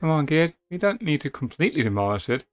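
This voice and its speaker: A hesitant young game hero, acted by a man reading aloud, good-natured and easily startled. The voice is a little high and bewildered, recorded dry. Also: very animated, fast talker, smooth, dry recording. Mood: pleading.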